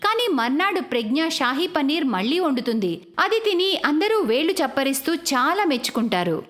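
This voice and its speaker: A woman narrating a cartoon moral story in a brisk, explanatory storytelling manner. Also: high voice, very animated, fairly bright tone.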